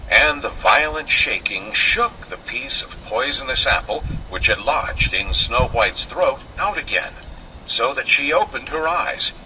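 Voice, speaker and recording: Male storybook narrator, theatrical and old-fashioned, piercingly bright forceful tone, unhurried pace. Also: mid-range voice, slight background noise, poor audio. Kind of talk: scripted fairy tale narration.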